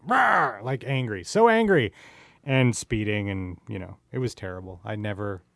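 A man's voice: on the low side, lively intonation, normal speed, gravelly, a bit bright, no echo, clean studio sound. A man hosting a casual podcast chat, informal and candid, asking questions and swearing freely. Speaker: podcast host.

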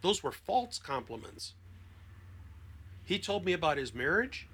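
A man who works as a therapist, talking conversationally as a podcast guest and telling anecdotes in an expressive, emphatic way. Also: sits high, a little slow, slight background noise. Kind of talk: conversational explanation with anecdotes and acted-out quotes.

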